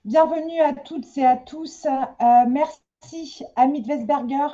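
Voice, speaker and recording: A woman's voice: high-pitched, slow, mellow, highs cut off. A woman interviewing an author, introducing the guest with frequent hesitations. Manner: hesitant, many fillers. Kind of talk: literary interview, reading an introduction.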